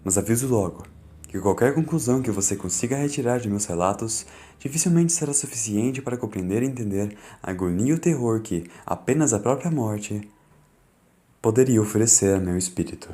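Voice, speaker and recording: A Brazilian man narrating a scripted fan audiobook in a solemn, deliberate, dramatic way. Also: on the low side, lively intonation, mellow.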